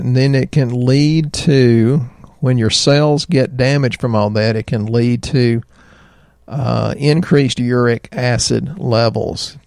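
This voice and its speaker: A middle-aged man with a Southern American accent, a doctor chatting in a folksy, rambling way about health, his voice slightly hoarse. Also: mid-range voice, a little mellow, dry recording, studio quality. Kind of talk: health talk show chat.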